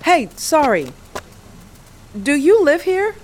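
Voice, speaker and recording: A guarded, wry grown daughter in an audio drama. She speaks high and unhurried, with expressive intonation, in a naturalistic way. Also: slight background noise, heavily acted. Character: dry, independent grown daughter on the road. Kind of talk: naturalistic audio fiction acting.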